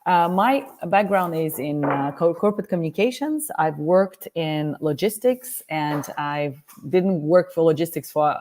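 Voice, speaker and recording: Guest woman from Uzbekistan, very low voice, earnest, on a video call. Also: animated, unhurried, darkish tone. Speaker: guest speaker, diversity consultant. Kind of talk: livestream interview answer.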